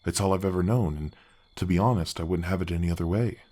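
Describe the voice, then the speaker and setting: A man playing a big, kindly gargoyle guardian in a soft fantasy ASMR roleplay, earnest and a little bashful, with his voice deepened by an effect. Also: fast. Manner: earnest, courteous, slightly bashful, hesitant phrasing. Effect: deep-voice pitch effect.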